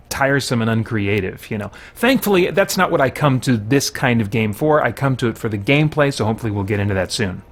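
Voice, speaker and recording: Candid man reacting to a video game. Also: mid-range voice. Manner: candid, conversational, thinking aloud. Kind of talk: casual first-impressions gameplay commentary.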